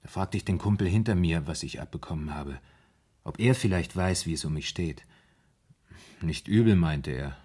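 A man's voice: low voice, lively intonation, normal speed, mellow, slightly rough. An actor playing a troubled, deferential ex-soldier in a radio play, earnestly recounting his story. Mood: reflective, earnest. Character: troubled, deferential red-haired ex-soldier recounting strange memories.

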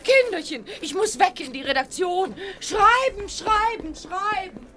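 An actress playing an excitable, gushing lady newspaper reporter in a children's audio play, theatrical and effusive. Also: very high voice, a little slow, full character voice. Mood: excited.

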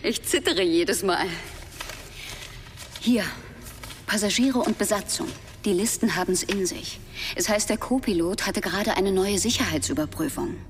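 Actress as curious modest investigator lady, medium pitch, echoing hall, radio play. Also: unhurried, a little hiss or hum, close to an everyday voice. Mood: modest, confiding. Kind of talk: radio drama acting, conversational.

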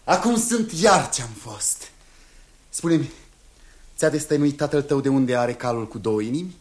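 An actor playing a bold, lively young hero on horseback in a 1960s radio drama. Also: male voice, mid-range voice, lightly acted. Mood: earnest. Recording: hissy 1960s radio recording.

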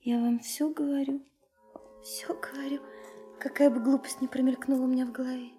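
An actress in a radio drama playing a sincere, lovesick young woman, soft and hesitant, often dropping into a breathy whisper. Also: high voice, level tone, fairly quick, faint music behind, close to an everyday voice. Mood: playful, eager. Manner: hesitant, earnest, confiding. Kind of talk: intimate radio drama acting.

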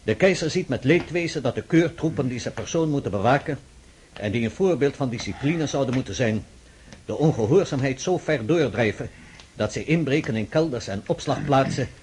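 A man acting a dutiful army officer with a medium-pitched voice. He reads formally and deliberately in radio play style, with a little noise on the recording. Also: lively intonation, darkish tone, natural voice. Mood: formal, grave. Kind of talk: radio drama acting, reading aloud.

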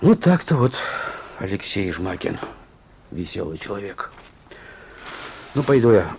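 Deep, rough-edged stern police chief. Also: male voice, sing-song, measured pace, darkish tone, heavily acted. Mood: fatherly. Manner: firm, colloquial, admonishing. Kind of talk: Russian radio play acting. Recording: dull, muffled radio play recording.

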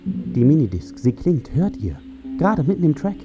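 An actor playing a commanding male astronaut and mission leader in a radio play, with declamatory, deliberate delivery. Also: fairly high pitch, big pitch swings. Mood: intent, solemn. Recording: dull sound under loud music.

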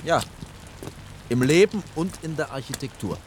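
An actor playing a pragmatic, middle-aged senior architect in a radio drama, admonishing and matter-of-fact. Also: male voice, fairly high pitch, animated, moderate pace, close to an everyday voice. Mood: dry, reproving. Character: stern, pragmatic older male chief architect. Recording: 1980s radio play, outdoor background noise.

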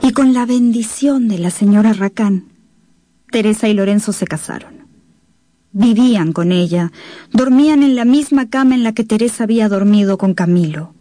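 A woman's voice: mid-range voice, moderate pace, dark tone, no echo, close to an everyday voice. A woman narrating a tragic radio drama as a solemn storyteller, in scripted dramatic style.

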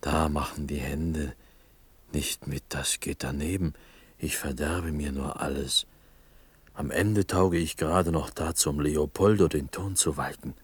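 An actor playing a bitter, stubborn village potter in a radio drama, husky and breathy, brooding and halting. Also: male voice, extremely low pitch, fairly even intonation, dry recording, heavily acted. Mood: bitter, despairing.

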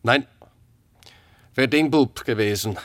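An actor playing a taciturn former soldier in a radio drama, answering tersely and evasively. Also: male voice, mid-range voice, slow, no echo, close to an everyday voice. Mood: guarded, evasive. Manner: terse, flat one-word answers, evasive. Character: taciturn, guarded former soldier under questioning.

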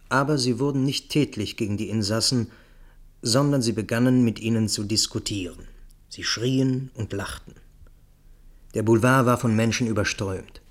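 An actor playing an observant man recounting events in the first person in a radio play, measured and sober. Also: medium pitch, lightly acted. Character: observant male friend recounting a tense night in Paris.

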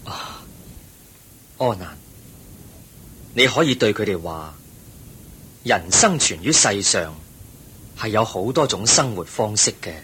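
Low, unhurried, wise Buddhist teacher. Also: male voice, big pitch swings, bright, forceful tone, heavily acted. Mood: patient teaching. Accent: Hong Kong Cantonese. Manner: measured, instructive, explaining patiently. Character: the Buddha, male teacher, calm, wise and patient. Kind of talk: Buddhist radio drama acting. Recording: noisy recording with low rumble.

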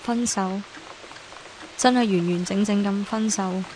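A woman's voice: medium pitch, fairly even intonation, a little slow, over loud music, lightly acted, rough recording. An actress playing a heartbroken, defiant young woman in an emotional, emphatic radio drama style. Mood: wistful, hurt. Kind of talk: Cantonese radio drama narration and acting.

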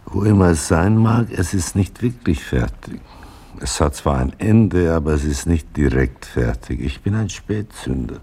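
Wry, evasive writer: extremely low, dark, gravelly voice, dry irony, radio drama. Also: male voice, animated, unhurried, heavily acted. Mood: evasive, apologetic. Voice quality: gravelly, husky.

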